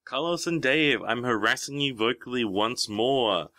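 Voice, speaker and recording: A man's voice: medium pitch, unhurried, sharp tone, no room sound. A man calling in to a podcast, leaving a prepared voicemail message.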